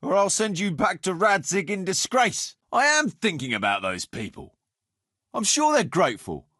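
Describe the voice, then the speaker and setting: A rough medieval fighter from a video game, leader of a hard-drinking band. His voice sits fairly high for a man and swings widely in pitch. He talks bluntly and coarsely, and the sound is dry and close. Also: big pitch swings, moderate pace, pushed and bright, dry recording, heavily acted. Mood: gruff, mocking. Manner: blunt, earthy, swearing. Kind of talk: video game dialogue acting.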